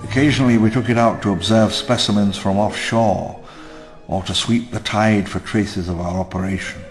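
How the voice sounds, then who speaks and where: A man's voice: on the low side, fairly even intonation, lightly acted. Elderly male founder, played by an actor, theatrical reflective memoir for a game log; long reverb, music behind. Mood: wistful, reflective. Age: older. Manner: measured, theatrical, ruminative storytelling. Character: wistful elderly male park founder recalling his downfall.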